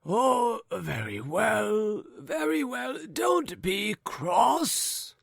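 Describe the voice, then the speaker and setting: A high, wandering old man's voice, put on by a male reader, forgetful and coughing, drawn out into a wheedling chant. He rambles slowly over his words, recorded dry and close. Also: sing-song, no room sound, full character voice.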